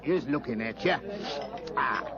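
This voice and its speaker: An actor playing a jolly, gossipy working-class English publican in a radio drama, matey and rambling with chuckles and a gravelly voice. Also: male voice, medium pitch, lively intonation, takes it slowly. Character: jolly, gossipy working-class pub landlord, former coachman. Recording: muffled radio recording, noisy pub crowd.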